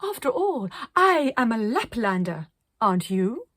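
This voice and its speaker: A woman's voice: fairly high pitch, sing-song, measured pace, a bit bright, dry recording, heavily acted. A woman voicing a flamboyant, dreamy, eccentric woman artist in a children's storybook, with gushing, melodramatic delivery. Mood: airy, amused.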